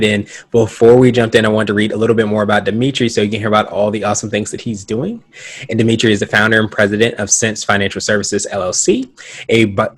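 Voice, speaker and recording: A man's voice: fairly low pitch, fairly bright tone, dry recording. A man hosting a podcast interview, upbeat and enthusiastic as he introduces and questions his guest. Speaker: podcast host.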